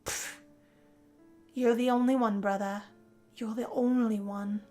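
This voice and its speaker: Female actor as loyal vampire sister, sardonic, blunt, fairly high voice, machinima drama dialogue. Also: steady tone, a little slow, darkish tone, soft background music, close to an everyday voice. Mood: wry, devoted. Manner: wry, blunt.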